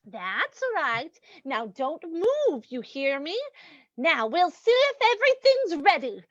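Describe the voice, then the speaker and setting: A woman's voice: sits high, very animated, takes it slowly, no echo, lo-fi sound, full character voice. A woman playing a gloating, sweetly cruel lady murderess in an old-time radio mystery, cooing mock sympathy at her victim. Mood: mock-sweet menace.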